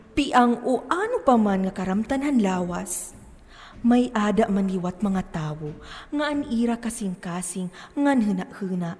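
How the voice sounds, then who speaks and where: A woman's voice: medium pitch, a little mellow. A woman acting an instructive lecturer in a stage play, declaiming explanations and putting questions. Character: lecturing personified character explaining gender and sexuality. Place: stage in a large hall. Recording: reverberant stage hall.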